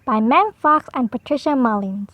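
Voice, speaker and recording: A woman storyteller reading aloud to young children, with deliberate, simple phrasing. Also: high voice, animated, dry recording, highs cut off.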